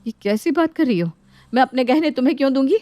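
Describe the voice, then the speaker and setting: A woman's voice: high voice, animated, fast, dark tone, no echo. A woman voicing an indignant married sister-in-law who protests in defence of her household in a dramatized moral story. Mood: hurt, protesting.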